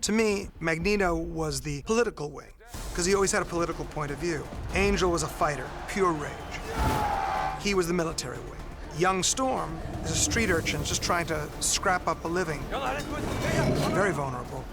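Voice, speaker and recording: A man's voice: fairly high pitch, normal speed, loud music behind the voice. A man, a film director, explaining his casting and character ideas in a behind-the-scenes interview.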